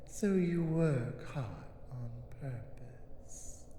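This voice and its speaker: Woman doing a bossy giantess sorceress for asmr roleplay, really slow n deliberate, haughty, kinda whispery in spots, bit of background noise. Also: very deep voice, lively intonation, heavily acted. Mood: quiet, musing. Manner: slow, deliberate, commanding, with breathy drops into whispers. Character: powerful, haughty female giantess sorceress, suspicious and dominant. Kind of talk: ASMR roleplay, dramatic monologue.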